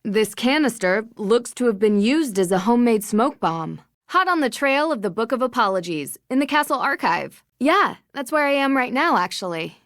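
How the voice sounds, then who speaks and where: Woman acting as young female sleuth, curious and determined, articulate, animated intonation, dry studio sound, video game. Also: on the high side, normal speed, hardly any pauses, dry recording, natural voice. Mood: upbeat.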